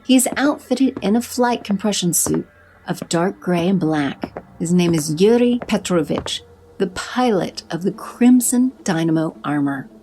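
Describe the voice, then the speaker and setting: A woman narrating an audio drama in an even, descriptive way. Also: on the low side, measured pace, slight background noise, rough recording.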